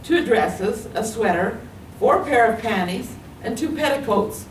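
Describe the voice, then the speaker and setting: A woman with a fairly high voice reads her memoir aloud in a lively storytelling manner. She stands at a distance from the mic, and the room echoes around her. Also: measured pace, a little hiss or hum. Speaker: writer reading her memoir. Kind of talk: literary reading. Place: library meeting room.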